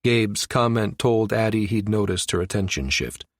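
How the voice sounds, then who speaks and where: A man's voice: on the low side, animated, moderate pace, no room sound. Male audiobook narrator, dry close sound. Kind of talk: scripted narration of a science fiction novel.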